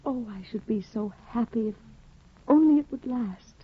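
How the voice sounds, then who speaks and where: Shy earnest young woman, soft and husky, lively pitch, tinny old radio. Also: normal speed. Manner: earnest and anxious, soft-spoken. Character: timid, devoted young woman in love. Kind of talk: 1950s radio drama acting.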